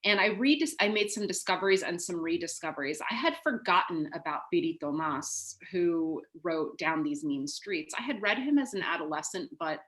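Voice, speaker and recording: Woman author from the us chatting reflectively on a video call, voice a bit low. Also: animated, moderate pace, few pauses, a bit bright, low-quality recording. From United States. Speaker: author, panel guest. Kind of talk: reflective panel conversation. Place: home room on a video call. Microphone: video call microphone.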